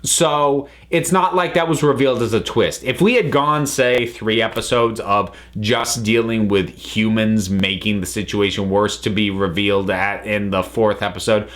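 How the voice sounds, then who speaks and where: A man's voice: lowish voice, fairly even intonation, moderate pace, few pauses, fairly bright tone. A man giving an unscripted review as a commentator, talking analytically and often stopping to rephrase himself. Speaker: reviewer, channel host.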